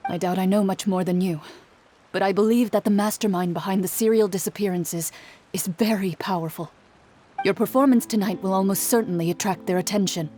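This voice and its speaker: A woman playing a cool, dutiful female duelist in a video game, speaking in a formal, measured, restrained way. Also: mid-range voice, mellow, natural voice. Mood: grave.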